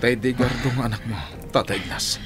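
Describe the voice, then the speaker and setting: A man's voice: mid-range voice, steady tone, moderate pace, noisy background, lightly acted. A man with an Ilocano accent acting a grim, resolute hero in a radio drama. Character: young hero Ruben, grim and resolute interrogator. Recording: dramatic music behind the voice.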